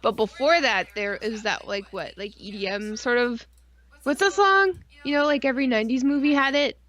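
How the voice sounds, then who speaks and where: A woman's voice: fairly high pitch, normal speed, a bit bright. A woman co-hosting a casual live-stream chat, rambling with geeky enthusiasm, slang and frequent swearing. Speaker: podcast co-host.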